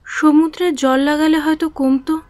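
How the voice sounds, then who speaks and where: Casting: woman to play a put-upon but defiant young wife for radio drama, high voice, retorting. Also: level tone, moderate pace, a little mellow, dry recording, close to an everyday voice. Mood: pleading, weary. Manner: retorting, evasive, exasperated. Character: long-suffering, defiant young wife of a sick man. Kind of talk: Bengali audio drama acting.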